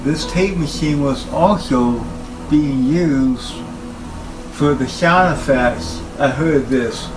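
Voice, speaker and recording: Slow-talking man over music. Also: fairly high pitch, low-quality recording. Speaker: hobbyist presenter showing his tape machines. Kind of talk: informal equipment talk.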